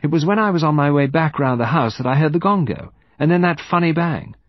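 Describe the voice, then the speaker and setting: A man voicing a brisk, defensive young upper-class woman in an audiobook, clipped and matter-of-fact. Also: fairly high pitch, animated, no echo, highs cut off, voice pushed up, close to an everyday voice. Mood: explaining, defensive. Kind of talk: audiobook character voice.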